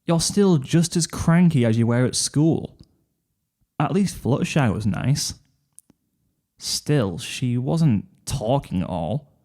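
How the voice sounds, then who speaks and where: A man's voice: mid-range voice, animated, takes it slowly, a little mellow. A man narrating a second-person fan fiction story.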